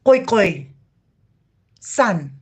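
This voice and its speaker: A woman's voice: mid-range voice, lively intonation, very slow, husky. A woman from Namibia, hosting a live talk in a passionate, declamatory way. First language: Afrikaans. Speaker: livestream host. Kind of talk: impassioned heritage talk.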